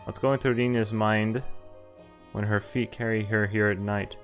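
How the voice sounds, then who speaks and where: A man's voice: lowish voice, fairly even intonation, unhurried. A man narrating visual novel text aloud as a game streamer, occasionally stumbling over words as he reads. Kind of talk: read-aloud story narration. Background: game music.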